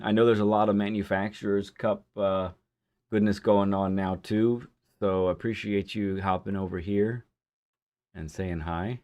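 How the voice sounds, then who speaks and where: A man hosting a casual live stream who greets viewers by name. His throat is hoarse and phlegmy from a lingering cough. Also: fairly low pitch. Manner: chatty, reads out viewer names warmly.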